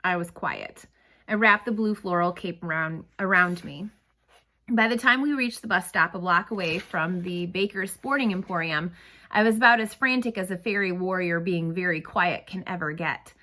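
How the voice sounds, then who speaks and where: A woman narrating a storybook read-aloud with expressive, dramatic phrasing. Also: fairly low pitch, normal speed.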